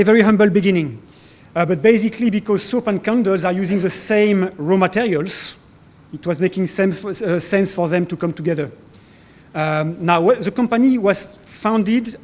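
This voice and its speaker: A man in his forties speaks in English with a French accent, presenting at a conference. His voice sits fairly high for a man. He talks haltingly, with frequent fillers and restarts. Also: darkish tone. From France. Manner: hesitant, many fillers and restarts. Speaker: corporate speaker. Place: conference hall.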